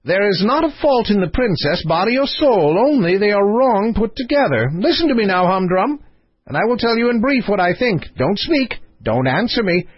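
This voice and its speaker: A pompous, flighty storybook philosopher, played by a man, who lectures insistently in a high, excitable voice. He declaims as if nobody may interrupt. The sound is echoey and slightly dull. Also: moderate pace, breathy edge, exaggerated voice. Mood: impatient, emphatic. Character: quick, flighty Chinese philosopher, a pompous spiritualist.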